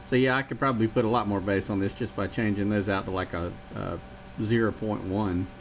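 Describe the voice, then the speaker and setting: A man's voice: on the low side, fairly even intonation, brisk, very noisy. A man from South Carolina, a radio hobbyist, talking through circuit details in a thoughtful, meandering way. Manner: thoughtful, meandering, many fillers. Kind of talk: technical chat about radio circuits.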